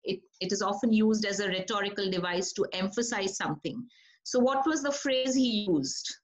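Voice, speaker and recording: A woman's voice: medium pitch, moderate pace. Woman leading an online writing workshop. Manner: conversational, asks the group questions.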